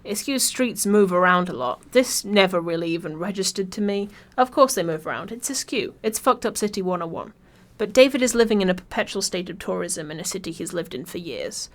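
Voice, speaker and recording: A woman from Birmingham with an English accent reads a scripted video essay in a wry, informal, sweary way. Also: on the low side. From Birmingham, England.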